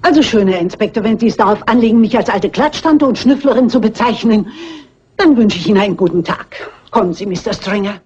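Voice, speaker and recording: An actress playing a stubborn, shrewd elderly lady sleuth in a dubbed crime film, husky and briskly dignified. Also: medium pitch, darkish tone, natural voice. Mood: indignant. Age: older. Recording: dull old film soundtrack.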